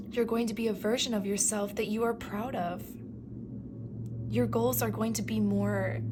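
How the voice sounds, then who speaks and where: A woman reading tarot cards for her viewers, speaking softly and affirmingly, often slipping into a whisper, her voice going from a cough. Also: mid-range voice, noisy background. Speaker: tarot reader. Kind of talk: pick-a-card tarot reading.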